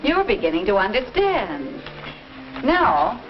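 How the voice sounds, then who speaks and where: An actress playing a patient, gently explanatory middle-aged American mother advising her teenage daughter in a 1950s instructional film. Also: medium pitch, wildly swooping pitch, slow pace. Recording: dull vintage film soundtrack with music.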